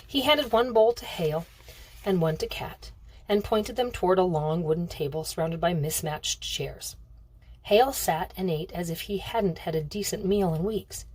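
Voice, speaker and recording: Female novel reader, expressive storytelling, lower register, dry close sound. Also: normal speed, slightly rough, no echo, rough recording.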